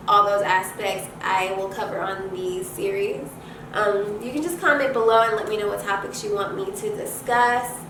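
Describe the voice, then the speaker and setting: A congested young woman giving a casual motivational talk to viewers, conversational and halting, repeating and rephrasing points for emphasis. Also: mid-range voice. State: congested from allergies. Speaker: host of a self-care advice channel. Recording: echoey room, distant mic, noisy background.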